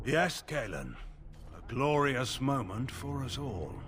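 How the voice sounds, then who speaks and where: A scornful veteran general with a widely moving pitch. He sounds grave and disapproving, pressing his points forcefully in dramatic fantasy game style, over faint music. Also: big pitch swings, slow. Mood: grim, controlled. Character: stern, scornful veteran general and royal adviser. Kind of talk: dramatic video game voice acting.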